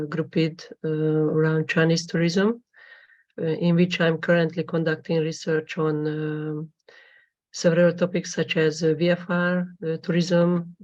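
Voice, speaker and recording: Halting woman presenting research. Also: sits low, a little mellow. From Hungary. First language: Hungarian. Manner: halting, formal, with frequent pauses. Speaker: conference presenter, PhD student. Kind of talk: academic presentation. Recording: dull lo-fi recording.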